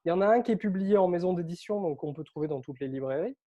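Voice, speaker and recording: A French man with a somewhat high yet mellow voice, talking as an interview guest. He speaks in a lively, chatty way and often uses filler words. He grew up on Réunion Island but carries no accent. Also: fast talker, lo-fi sound. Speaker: interview guest, author and coach. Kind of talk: casual interview storytelling.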